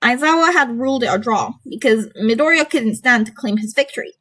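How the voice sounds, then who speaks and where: A woman voicing a frank, matter-of-fact teenage frog-like girl hero, deliberate in her delivery, in a story reading. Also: fairly high pitch, fairly bright tone.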